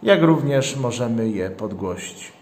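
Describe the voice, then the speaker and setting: A man presenting a product step by step in a measured, matter-of-fact way with halting phrases. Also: mid-range voice, expressive, darkish tone. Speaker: product reviewer, channel host. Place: indoors, tabletop product shoot. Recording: roomy indoor echo.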